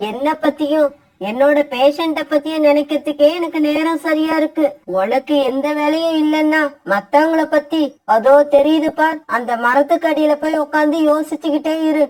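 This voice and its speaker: A woman's voice: high-pitched, steady tone, moderate pace, darkish tone, lightly acted, poor audio. A woman voicing a bossy female kite bird doctor in a cartoon, speaking curtly and dismissively. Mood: scornful. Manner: curt, dismissive, lecturing. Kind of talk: cartoon voice acting.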